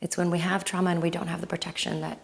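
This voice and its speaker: A woman with a low voice and a light Lebanese accent, her first language Arabic. She talks as a guest in a relaxed interview, thinking aloud, circling back and restarting her sentences. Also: rapid-fire, vocal fry. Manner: reflective, with frequent fillers and restarts. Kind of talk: casual conversational interview.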